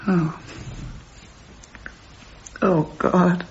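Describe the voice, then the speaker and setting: An actress playing a grieving, anxious British landlady in a radio drama, speaking haltingly as she searches for words. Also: on the low side, level tone. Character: grieving, anxious landlady, hesitant but insistent. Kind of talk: BBC radio drama acting. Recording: dull, hissy radio broadcast recording.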